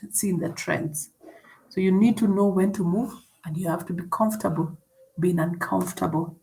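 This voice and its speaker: A woman's voice: medium pitch, vocal fry, slight background noise, low-quality recording. A woman, a coach speaking on an online panel, giving advice in a reflective way. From Kenya.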